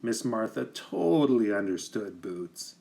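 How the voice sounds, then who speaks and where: A man reading a children's picture book aloud like a teacher, with expressive, deliberate emphasis for young listeners. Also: on the low side, vocal fry. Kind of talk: expressive children's storybook narration.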